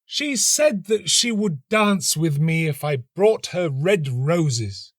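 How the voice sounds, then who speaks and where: A lovelorn young student from a storybook, acted out by a male narrator. His voice is lifted high and slowed into long, plaintive phrases, full of melodramatic romantic despair. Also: expressive, fairly bright tone, no echo, clean studio sound, full character voice. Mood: despairing, tearful. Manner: plaintive, melodramatic, drawn-out sighing delivery. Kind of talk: storybook reading.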